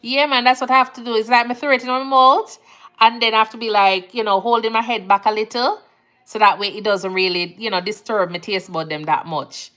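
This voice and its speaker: A woman's voice: fairly high pitch, brisk. Chatty jamaican woman, laid-back. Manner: relaxed, chatty, laughs easily, Jamaican phrasing. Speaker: nurse livestream host. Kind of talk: casual livestream chat reading comments.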